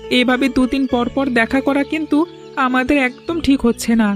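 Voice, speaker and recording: A woman's voice: high-pitched, fairly even intonation, normal speed, faint music behind, natural voice. A woman voicing a timid, kind young village boy in a cartoon, talking in a cautious, worried manner. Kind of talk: cartoon voice acting.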